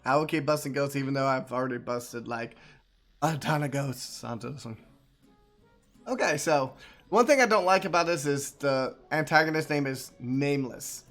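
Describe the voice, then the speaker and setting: A man giving casual live gaming commentary in a halting, conversational way, often repeating his words. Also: mid-range voice, a bit bright, soft background music. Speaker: game streamer.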